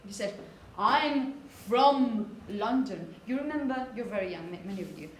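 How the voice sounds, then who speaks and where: A woman's voice: medium pitch, animated, moderate pace. A woman phonetics teacher lecturing to students, explaining and emphasizing example words, with frequent check-in questions. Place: classroom, indoors. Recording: echoey room, background noise.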